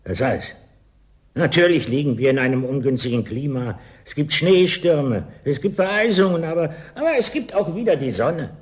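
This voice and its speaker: An actor in a German radio play as an evasive, pedantic official who stubbornly denies danger. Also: male voice, fairly high pitch, lively intonation, moderate pace, close to an everyday voice. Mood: evasive, dismissive. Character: evasive male settlement bureaucrat, stubbornly denying the danger.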